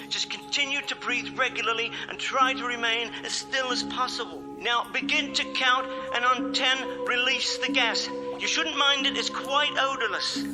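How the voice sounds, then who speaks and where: An actor playing a precise, self-assured scientist and criminal mastermind in a radio drama, with formal, clipped diction. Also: male voice, very high voice. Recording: hall-like reverb with faint music.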